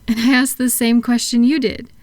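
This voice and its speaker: A woman playing a kind, patient therapist in a relaxation roleplay audio, speaking softly and gently in an unhurried, reassuring way. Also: fairly high pitch, little pitch movement, dry recording, lightly acted. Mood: sympathetic, gentle. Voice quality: soft-spoken, gentle. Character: kind, patient female thought-calming therapist soothing a client. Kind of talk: soft-spoken roleplay relaxation audio for sleep.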